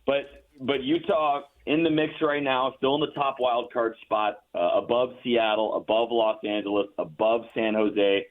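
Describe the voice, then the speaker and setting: A man's voice: medium pitch, steady tone, measured pace, few pauses. A man calling in as a guest, talking through stats in a chatty, fact-heavy way with lots of restarts. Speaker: radio play-by-play announcer, guest. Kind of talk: casual radio interview. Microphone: phone line. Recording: tinny phone line.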